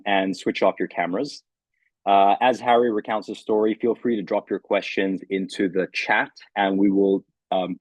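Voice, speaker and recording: Deep-voiced guy hosting an online q and a, rambly and hesitant, dry sound. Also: a little mellow, slightly rough, no echo, low-quality recording. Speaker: host.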